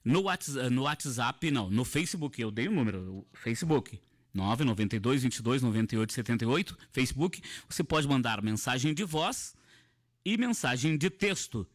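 A man's voice: mid-range voice, lively intonation, moderate pace. A man hosting a live radio interview show from the studio, talking unhurriedly and repeating phrases and numbers.

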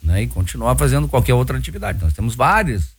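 A man's voice: medium pitch, big pitch swings, fast talker, breathy edge, dry recording. A man with a Brazilian accent hosting a radio talk show, chatty and full of filler words.

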